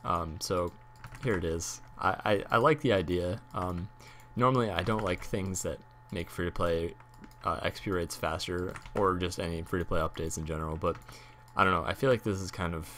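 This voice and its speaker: A young man with a hoarse voice from a cold, rambling casually with many fillers while talking about a game he streams. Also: low-pitched, normal speed, fairly bright tone, a little hiss or hum. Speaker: gaming YouTuber and streamer. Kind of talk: casual talk over gameplay.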